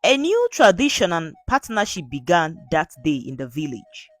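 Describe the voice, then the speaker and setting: A woman narrating a scripted folktale in an earnest, measured storybook manner. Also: on the low side, big pitch swings, faint music behind.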